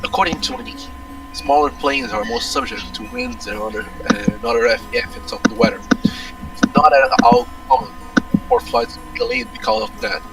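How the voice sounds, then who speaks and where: A man's voice: extremely high pitch, sing-song, normal speed, gravelly, far from the mic, music in the background, poor audio. A Brazilian man, a native Portuguese speaker, reading narration aloud with casual asides and some stumbles. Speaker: reader in a group visual novel stream.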